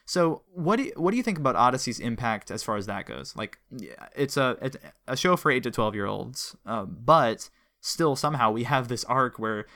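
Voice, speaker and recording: A man's voice: medium pitch, expressive, moderate pace, bright, forceful tone. A man co-hosting a podcast, talking casually and analytically in a chatty, explanatory way. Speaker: podcast co-host.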